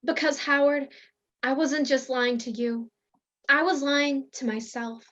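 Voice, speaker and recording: A woman's voice: sits high, fairly even intonation, measured pace, a bit bright. A woman playing a melodramatic, lovestruck soap-opera heroine who hides secrets, in a radio play parody. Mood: confessing. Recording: dull lo-fi recording.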